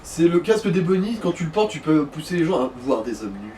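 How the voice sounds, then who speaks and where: A man's voice: fairly high pitch, sing-song, fairly quick, dark tone, far from the mic, slight background noise, rough recording. A man bantering casually as a gameplay co-commentator, joking with absurd exaggeration. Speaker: let's play co-commentator.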